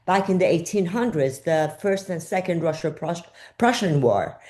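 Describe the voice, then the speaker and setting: An older woman from Iran with a lowish voice speaks as an interview guest. She answers thoughtfully and often pauses on fillers while she searches for words. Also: lively intonation, darkish tone. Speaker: journalist guest.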